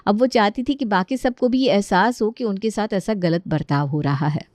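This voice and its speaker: A woman's voice: medium pitch, normal speed, a little mellow, no room sound, studio quality. A woman narrating a scripted family moral story.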